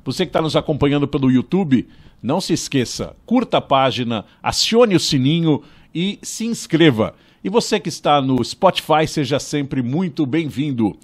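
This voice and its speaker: A middle-aged man with a São Paulo Brazilian accent, hosting a podcast with a slow, friendly welcome and questions, speaking into a boom-arm studio mic in a small studio. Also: expressive. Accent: Brazilian Portuguese, São Paulo. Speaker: podcast host. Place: small studio with table and monitor.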